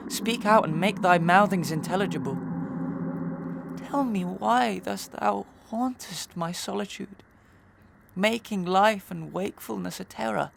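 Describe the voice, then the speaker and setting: A man playing an anguished, haunted gentleman in a Victorian melodrama soliloquy, declamatory, halting and overwrought, over some background noise. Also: high voice, creaky, full character voice. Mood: frantic, defiant. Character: anguished, haunted gentleman, despairing and half-mad.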